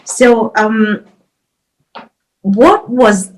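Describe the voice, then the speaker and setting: A woman's voice: on the high side, very slow, poor audio. A woman hosting a podcast interview in a conversational way, reflectively rephrasing and summing up as she goes.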